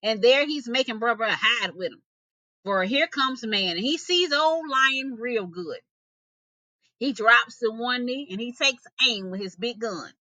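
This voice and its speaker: A woman reading a folktale aloud as an expressive storyteller. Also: fairly high pitch, unhurried, no echo.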